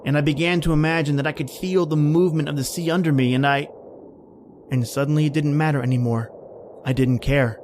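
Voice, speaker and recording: A man's voice: a little high, steady tone, a little fast, a little mellow, a little hiss or hum, natural voice. A man playing a haunted, panicky man stranded in polar cold, telling his ordeal in an old-time radio horror style. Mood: haunted, resigned. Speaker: podcast host and actor.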